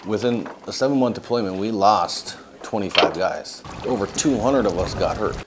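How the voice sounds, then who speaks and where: A young man, a military veteran, calmly recounting his injury in an interview. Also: on the low side, moderate pace. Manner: sober, matter-of-fact. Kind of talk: interview testimony. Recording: noticeable background noise. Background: noise.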